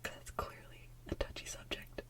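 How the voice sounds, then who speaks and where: A woman who hosts ASMR videos, speaking in a hushed, breathy whisper with a playful, giggly manner. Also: moderate pace. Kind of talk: whispered ASMR chat and soft singing.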